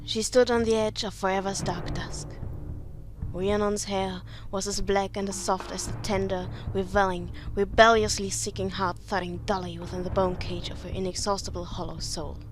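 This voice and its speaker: A woman's voice: medium pitch, little pitch movement, moderate pace, over music. A woman with a non-native English accent reads overwrought prose aloud as an amateur, in a solemn, melodramatic way with occasional stumbles. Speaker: amateur reader.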